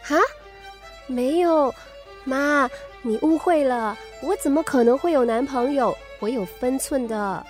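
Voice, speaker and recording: A woman's voice: sits high, unhurried, a little mellow, faint music behind. A woman acting an earnest, defensive teenage schoolgirl in a Mandarin radio drama, hesitant and pleading. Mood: flustered, pleading. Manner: hesitant, pleading, stubbornly defiant.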